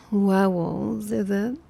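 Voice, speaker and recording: A woman's voice: medium pitch, gravelly, mellow, slightly rough, exaggerated voice. A woman playing a prickly, disdainful vampire who is secretly smitten with a human, huffy and theatrical in an ASMR roleplay.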